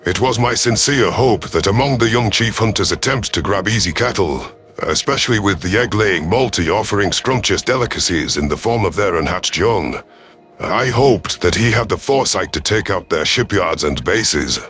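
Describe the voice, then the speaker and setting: A man's voice: fairly low pitch, expressive, normal speed, fairly bright tone. A man reading a first-person science fiction story as dramatic scripted narration, in the part of a grave alien commander. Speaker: narrator of a sci-fi audio story.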